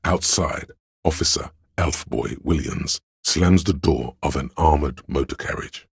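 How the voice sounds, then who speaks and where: A man playing a grave, all-knowing inner voice of police camaraderie in a video game, narrating in a solemn, measured, literary way with a gravelly, husky tone. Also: extremely low pitch, no echo, studio quality, heavily acted. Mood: brooding, measured.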